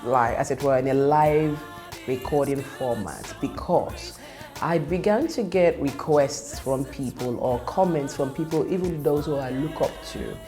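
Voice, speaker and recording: A woman whose first language is Yoruba, a gospel singer telling a personal testimony in an earnest, reflective way, pausing and restarting as she talks. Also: very deep voice, warm tone, faint music behind.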